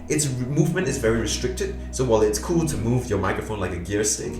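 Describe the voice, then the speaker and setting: Booming, hoarse-voiced man reviewing gear. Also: reverberant. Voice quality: booming, low and a bit hoarse. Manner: dry, sarcastic humor. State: tired, late at night. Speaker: tech reviewer. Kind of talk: casual product review. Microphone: USB condenser microphone close-up.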